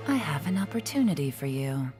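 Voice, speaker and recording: Low, cool female agent, reverberant. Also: very animated. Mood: cool, menacing. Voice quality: low-pitched. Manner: measured, coolly taunting, controlled. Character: cool, calculating female intelligence agent, mocking and self-assured. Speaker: video game character. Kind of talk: scripted game voice acting. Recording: long reverb, faint music behind.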